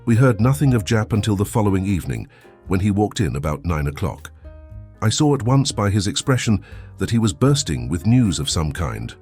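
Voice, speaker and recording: A man narrates an audiobook in a deep, low voice. His pitch moves expressively through the scripted first-person storytelling. Quiet music sits behind the reading. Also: big pitch swings, brisk. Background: soft music.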